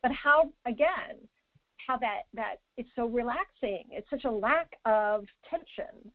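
A woman with a fairly high voice speaks over a thin, dry phone line. As a caller she shares casually, sounding effusive and appreciative, with emphatic wording. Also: lively intonation, measured pace, no echo. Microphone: telephone.

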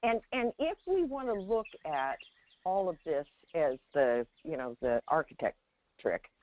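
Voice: mid-range voice, sing-song, unhurried, dry recording. A host guiding a call-in discussion in a reflective, conversational teaching style over a phone line. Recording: narrow tinny phone line. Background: birdsong from the caller's line.

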